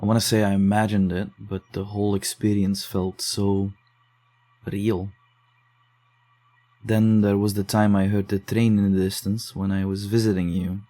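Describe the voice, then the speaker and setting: A man's voice: fairly low pitch, flat delivery, normal speed, dark tone, close to an everyday voice. An actor playing a shaken young man telling of strange events in an audio drama, defensive and uneasy. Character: shaken young man investigating case files. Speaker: narrator and lead character.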